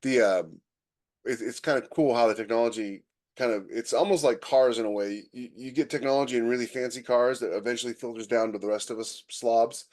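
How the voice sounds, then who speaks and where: A man's voice: medium pitch, steady tone, fairly quick, a little mellow. A man co-hosting a podcast in a casual, conversational way, freely giving his opinions. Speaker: podcast co-host.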